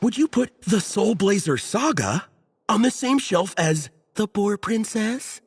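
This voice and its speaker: A man's voice: sits high, big pitch swings, unhurried, slight background noise, exaggerated voice. A man voicing an earnest, opinionated young book nerd in a video game, fussy and enthusiastic as he explains. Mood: indignant. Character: earnest, opinionated, nerdy young man, devoted light-novel fan.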